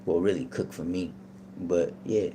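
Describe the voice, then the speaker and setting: A man's voice: low voice, steady tone, unhurried, warm tone, slight background noise. A man talking casually as a guest, laid-back and rambling with lots of fillers. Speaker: podcast guest. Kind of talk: casual storytelling.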